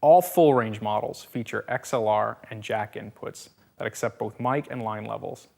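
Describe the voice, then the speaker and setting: A man's voice: lowish voice, normal speed, gravelly, a little mellow. A man giving a polished, scripted product narration as a presenter, with a salesy delivery and punchy quips.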